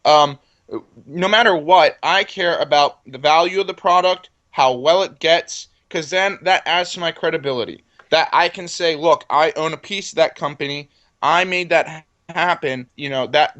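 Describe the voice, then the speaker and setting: A man's voice: fairly high pitch, normal speed, fairly bright tone. A man in his twenties, a business guest in an interview, talking confidently and often repeating words as he starts a sentence. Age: 20s. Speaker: entrepreneur guest. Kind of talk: casual interview talk.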